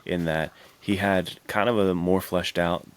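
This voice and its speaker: A man's voice: deep voice, steady tone, measured pace, smooth. A man co-hosting a podcast, chatting casually about movies in a slangy, enthusiastic way. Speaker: podcast co-host.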